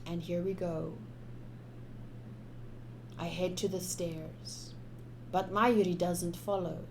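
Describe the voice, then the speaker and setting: A woman's voice: on the low side, fairly even intonation, slow pace, creaky, background noise. A young woman streaming a game, informal and chatty, switching between casual commentary and reading aloud.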